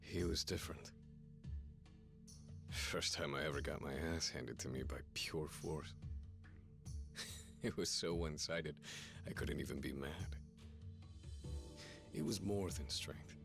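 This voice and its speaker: A man's voice: rumbling low, a little slow, vocal fry, pauses often, exaggerated voice. A volatile gangster in a game drama. He is coarse and intense, weighed down by guilt, with music behind him. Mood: regretful, reflective. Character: volatile, guilt-ridden male gangster confessing betrayal. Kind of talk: dramatic English video game dub.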